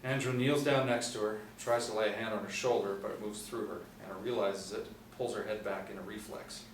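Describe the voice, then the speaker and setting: A middle-aged man narrates screenplay directions in a low voice. He is recorded in an echoey room, some distance from the mic, with faint noise behind him. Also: steady tone, a little fast. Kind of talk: scripted narration at a screenplay table read. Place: small indoor room, seated group reading.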